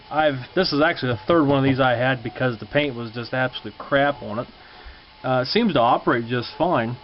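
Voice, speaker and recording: A man gives an informal, folksy show-and-tell at an indoor workbench. His voice sits at a medium pitch and is picked up by a camera mic. The sound is dull and lo-fi, with a noticeable hum behind him. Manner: plain-spoken, folksy, informal. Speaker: hobbyist repair vlogger. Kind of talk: casual unscripted show-and-tell to viewers. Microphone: handheld camera's built-in mic. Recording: muffled lo-fi camcorder sound, clear hum.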